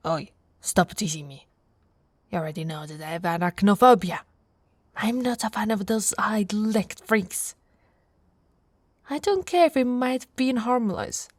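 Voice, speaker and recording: Woman playing an unhurried, affectionate orc warrior woman, close-up. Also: mid-range voice, animated, dry recording. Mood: panicked, squeamish. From Italy. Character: affectionate, teasing female orc warrior, afraid of spiders. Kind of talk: ASMR roleplay, intimate acting. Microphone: close, dry microphone.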